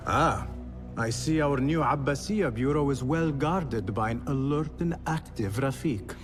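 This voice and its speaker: A man's voice: medium pitch, a little slow, natural voice. Man playing a composed young assassin, music behind. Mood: calm, curious. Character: composed young male hidden assassin in medieval Baghdad. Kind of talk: video game cutscene acting.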